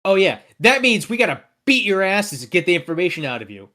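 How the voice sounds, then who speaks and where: A man's voice: sits high, very animated, fast, sharp tone, voice pushed up, heavily acted. Man playing a blunt, menacing gang boss. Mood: threatening, aggressive. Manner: blunt, threatening. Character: menacing, foul-mouthed male gang leader with scarred eye.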